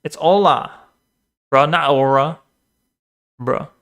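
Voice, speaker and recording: Brief: animated male streamer, a bit high-pitched, bantering with chat. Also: slow pace. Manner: animated, swears, talks back to the screen. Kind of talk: casual live-stream chat and video reaction.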